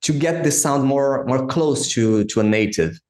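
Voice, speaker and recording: A man's voice: medium pitch, unhurried, darkish tone. Man host, echoey room. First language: Portuguese. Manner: hesitant, many fillers and restarts. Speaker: podcast host. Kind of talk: interview questions. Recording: roomy echo.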